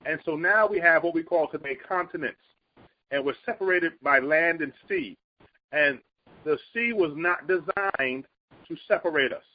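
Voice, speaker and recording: A man with a high, husky voice gives an earnest Bible teaching in a relaxed conversational way. He speeds up and lifts his pitch when he makes a point. The recording is muffled and lo-fi. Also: moderate pace.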